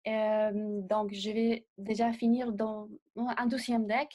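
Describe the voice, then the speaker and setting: A young woman, not a native speaker of the language, answering interview questions as a designer in a measured way and often pausing to find words. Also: mid-range voice, little pitch movement, mellow. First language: not French. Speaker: designer guest.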